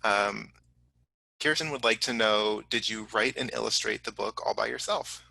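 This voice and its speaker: A man's voice: lowish voice, moderate pace, creaky, pushed and bright, dry recording, rough recording. A man hosting a casual online question-and-answer session for children, speaking in an encouraging, appreciative manner. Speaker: host of an online children's science story event. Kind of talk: casual audience Q&A moderating.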